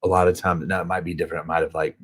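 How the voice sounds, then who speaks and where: A man with a Southern US accent hosts a chatty live stream from a hotel room, reading viewer comments as he goes. His voice sits low and sounds a bit husky. He rambles and fills his talk with loose, easygoing asides. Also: little pitch movement, rapid-fire. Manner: rambling, chatty, heavy on filler words. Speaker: true crime channel host.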